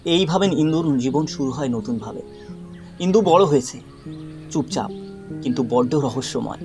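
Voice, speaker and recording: A man narrating a scripted dramatic story in short, suspenseful phrases with pauses. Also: mid-range voice, expressive, darkish tone, faint music behind.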